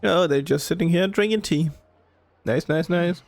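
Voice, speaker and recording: A woman gamer with a low voice full of vocal fry comments casually as she plays. Her remarks are short and offhand, with faint game music behind. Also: moderate pace, a little mellow. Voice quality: creaky. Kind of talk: casual let's play commentary.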